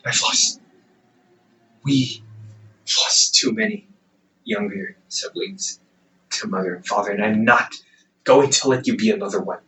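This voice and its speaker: A young man playing a protective, weary half-demon older brother in a dramatic audio roleplay, pleading and exasperated with emphatic, halting delivery. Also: on the low side, big pitch swings, bright, forceful tone, far from the mic, full character voice, low-quality recording. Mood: pained pleading.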